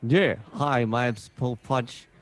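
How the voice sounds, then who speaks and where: A man's voice: mid-range voice, slow. A man who streams games, chatting casually as a player. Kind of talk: casual chat.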